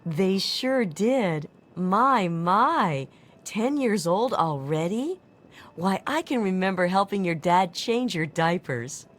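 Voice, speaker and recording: A woman's voice: mid-range voice, expressive, takes it slowly, faint music behind. A woman playing a kindly old lady, fussy, sweet and grandmotherly, in a video game. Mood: delighted, fond.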